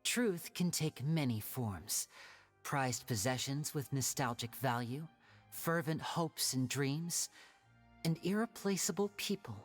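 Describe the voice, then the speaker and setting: A woman's voice: rumbling low, expressive, takes it slowly, breathy edge, full character voice. Woman voicing suave, cunning young male magician. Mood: knowing, pointed. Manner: polished, composed, theatrical. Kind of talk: dramatic video game voice acting. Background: background game music.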